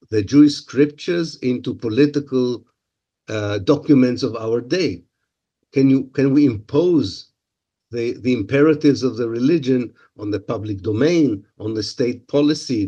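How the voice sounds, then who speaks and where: A man with an Israeli accent, a native Hebrew speaker, answering interview questions in English in a halting, analytical way. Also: mid-range voice, mellow, husky, dull audio. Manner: halting, searching for words, analytical. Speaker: guest commentator.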